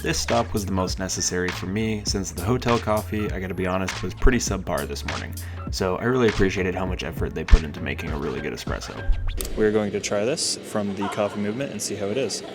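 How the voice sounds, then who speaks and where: Young guy, north american accent, lowish voice, chatting for a vlog w music behind. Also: fast talker. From Canada and the USA. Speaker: vlogger. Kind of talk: casual vlog talk and narration.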